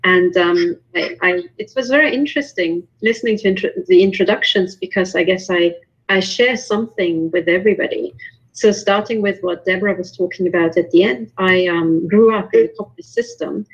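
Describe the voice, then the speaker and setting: A woman's voice: medium pitch, animated, fairly quick, husky, muffled recording. A woman from Romania, a guest on a video call roundtable who talks earnestly and often repeats words as she starts a sentence. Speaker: panel guest. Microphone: video call microphone.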